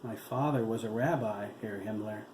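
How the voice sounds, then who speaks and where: A man reading the part of a calm, dignified young boy who speaks politely and quietly. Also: lowish voice, normal speed, lightly acted. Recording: roomy room with steady hiss.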